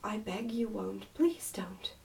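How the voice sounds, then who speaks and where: A woman's voice: medium pitch, expressive, slow, creaky, a little mellow, far from the mic. Woman as a prim, scolding storybook nursemaid. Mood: anxious. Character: fussy, strict nursemaid minding a young princess.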